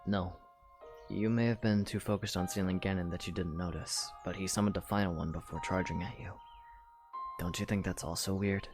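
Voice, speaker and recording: Guy doing earnest young hero, caring and calm, lowish voice, faint music behind. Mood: thoughtful. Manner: gentle, caring, measured. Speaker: voice actor. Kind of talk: fan audio drama acting.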